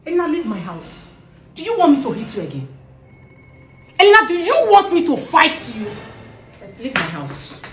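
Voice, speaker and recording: A woman's voice: high-pitched, wildly swooping pitch, normal speed, long pauses, a little hiss or hum. A woman playing a young wife who scolds her sister-in-law and orders her out of the house. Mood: furious. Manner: loud, scolding, repeats demands. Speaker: wife in a comedy skit. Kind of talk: family quarrel skit. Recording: echoey room.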